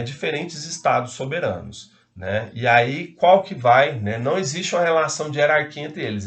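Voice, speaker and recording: A man's voice: medium pitch, fairly bright tone. A man giving a casual law lecture, hesitant and full of fillers and tag questions.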